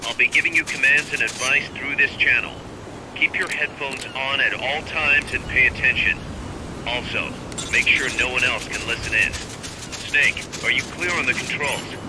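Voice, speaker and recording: Game officer, male actor: mid-pitched, ambitious, businesslike right-hand man, pressing his point over background noise. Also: normal speed, lightly acted. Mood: brisk, instructive. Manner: insistent, businesslike. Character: brisk, ambitious male military second-in-command. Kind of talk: video game cutscene acting.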